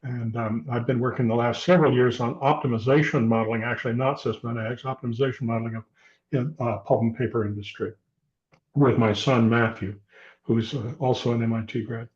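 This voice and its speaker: A man's voice: mid-range voice, fairly even intonation, brisk, a little mellow. A man in his seventies introducing himself on a video call, rambling and reflective, often correcting himself. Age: 70s. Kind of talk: self-introduction in online meeting. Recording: muffled video call audio.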